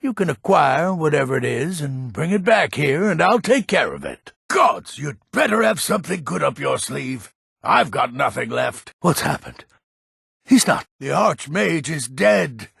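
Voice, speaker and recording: Game role: haughty old mage-lord, grumpy and curt, heavily gravelled and husky, with wide swings in pitch. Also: male voice, very animated, a little slow, a bit bright, no echo, clean studio sound, full character voice. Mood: exasperated, curt. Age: older. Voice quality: gravelly, raspy. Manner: gruff, curt, impatient. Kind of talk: video game character dialogue.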